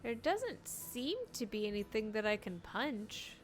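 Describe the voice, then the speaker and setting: A woman doing casual, expressive live gameplay commentary, talking to herself and to the game as she plays. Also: medium pitch, measured pace, slight background noise. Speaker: game streamer.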